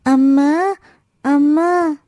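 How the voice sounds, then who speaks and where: An innocent little toddler girl in a family story, voiced by a woman. The voice is high and soft-toned, very slow in its simple childlike calls. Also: fairly even intonation, dry recording, studio quality. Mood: childlike calling.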